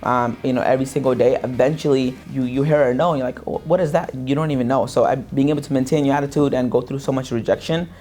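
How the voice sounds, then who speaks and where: A young man from Pakistan, a sales business owner, giving a relaxed interview testimonial with many fillers and restarts. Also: mid-range voice, fast, few pauses. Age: young adult. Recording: faint guitar music underneath.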